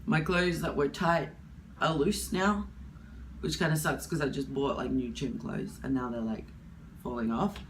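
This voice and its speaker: A woman in her twenties with a husky voice from hay fever, talking candidly to camera in a rambling, casual way. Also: very deep voice, a little slow, a little hiss or hum, poor audio. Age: 20s. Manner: candid, rambling, with many fillers. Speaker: vlogger.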